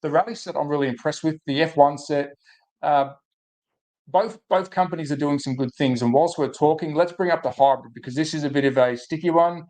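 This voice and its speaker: An Australian man with a slightly croaky, under-the-weather voice, hosting a casual livestream and thinking aloud as he chats to viewers. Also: on the high side, a little mellow. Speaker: host of a hobby livestream. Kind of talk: casual live chat to viewers.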